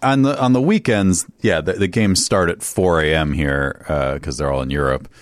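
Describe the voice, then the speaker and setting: Deep-voiced rambling podcast co-host. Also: male voice, normal speed, no room sound, studio quality. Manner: rambling, hesitant, chatty. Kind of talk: casual podcast chat. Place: podcast studio.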